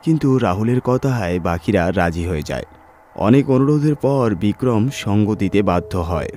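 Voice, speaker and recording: A man's voice: fairly low pitch, expressive, normal speed, dark tone, slight background noise. A man reading a scripted horror story as its narrator. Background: music.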